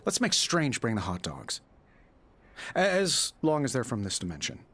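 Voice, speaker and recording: A man's voice: mid-range voice, huge pitch swings, normal speed, pushed and bright, slightly rough, heavily acted. A man playing a glib, flippant, wisecracking superhero in a video game. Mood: wry, glib. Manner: flippant, chatty.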